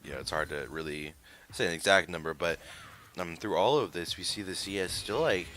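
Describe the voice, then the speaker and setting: A man's voice: low voice, fairly quick, fairly bright tone. A man giving casual color commentary on a live game match, talking in a hesitant, rambling way. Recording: noisy live-stream mic.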